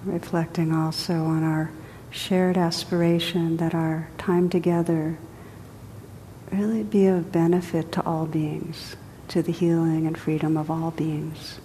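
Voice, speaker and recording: Low, mellow woman guiding meditation in a hall. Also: little pitch movement. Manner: gentle, unhurried, pausing between short phrases. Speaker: meditation teacher. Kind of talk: guided meditation. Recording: echoey hall, rumbly background noise.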